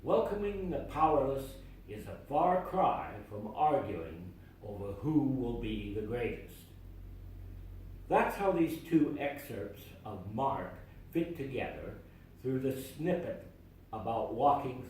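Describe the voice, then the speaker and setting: A man's voice: mid-range voice, a little mellow, recorded from a distance, a little hiss or hum. Man reading a sermon in an echoey church. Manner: measured, deliberate reading with emphatic phrasing. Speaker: lay reader delivering a sermon. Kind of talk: read sermon. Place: church sanctuary.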